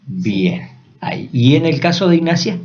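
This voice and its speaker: A man co-hosting a church program, asking guests questions in an expressive way. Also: medium pitch, normal speed. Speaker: co-host. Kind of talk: church radio interview. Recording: dull lo-fi sound.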